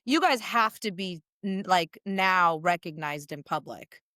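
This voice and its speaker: A woman's voice: on the low side, expressive, a little slow, bright, forceful tone, no room sound, clean studio sound. A woman hosting a podcast, chatting casually with her guests in an affirming, reflective way. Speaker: podcast host. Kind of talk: casual interview chat.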